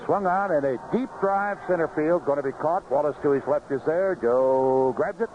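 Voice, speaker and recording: A man's voice: on the high side, normal speed, narrow, tinny audio. An American man calling baseball play-by-play on radio in clipped, descriptive phrases. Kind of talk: live radio sports commentary. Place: outdoor ballpark broadcast booth. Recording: noisy vintage 1970s AM radio broadcast.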